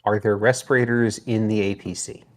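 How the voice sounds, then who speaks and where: A man takes part in a tabletop roleplaying game as a player. His voice sits a bit low, and he talks casually with the group. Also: fairly even intonation, moderate pace.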